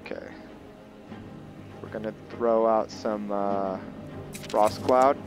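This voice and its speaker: Man, gaming host, lowish pitch, unhurried halting delivery, thinking aloud, casual roleplay commentary. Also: fairly even intonation. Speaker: gaming video host, player. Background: game music.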